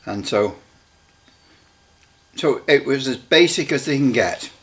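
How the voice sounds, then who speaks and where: Halting man casually demonstrating equipment. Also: mid-range voice, expressive, a bit bright. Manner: halting, rambling, repeats himself. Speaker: radio hobbyist presenter.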